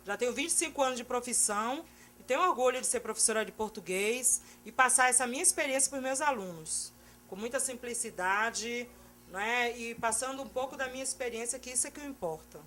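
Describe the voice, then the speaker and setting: A woman's voice: on the high side, a little fast, sharp tone. A middle-aged woman with a Bahian Brazilian accent, telling her own story as an interview guest. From Salvador, Bahia, Brazil. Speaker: interview guest, Portuguese teacher.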